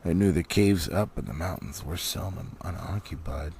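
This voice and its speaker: A man reading a fantasy storybook aloud as an audiobook, in a dramatic and deliberate manner with swooping emphasis. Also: low-pitched, darkish tone, a little hiss or hum. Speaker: audiobook reader. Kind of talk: expressive storybook narration, cold read.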